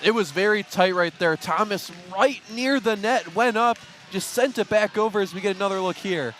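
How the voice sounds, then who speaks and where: A man's voice: high-pitched, normal speed, fairly bright tone, slight background noise. A man giving color commentary on live sports, with the venue audible behind him.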